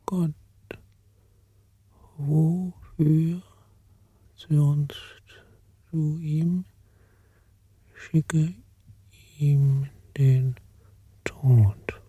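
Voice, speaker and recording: An actor playing a desperate male disciple in a radio play, whispering slow, pleading prayers. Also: fairly high pitch, fairly even intonation, mellow, breathy edge, full character voice.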